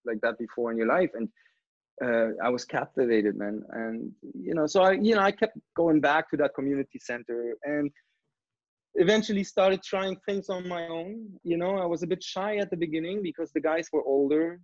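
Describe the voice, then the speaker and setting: A man's voice: on the high side, normal speed, dry recording, dull audio. A man in his thirties from East Germany with a German accent, chatting informally as an interview guest and often saying "you know.". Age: 30s. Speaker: podcast guest, breakdancer. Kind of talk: casual interview storytelling.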